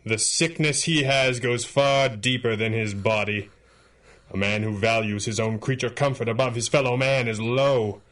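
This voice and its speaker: An actor playing an implacable masked hero with mind powers in a radio superhero drama, speaking gravely with deliberate menace. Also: male voice, mid-range voice, fairly even intonation, fairly bright tone, natural voice. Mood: cold, commanding. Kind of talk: 1930s-set radio superhero drama acting.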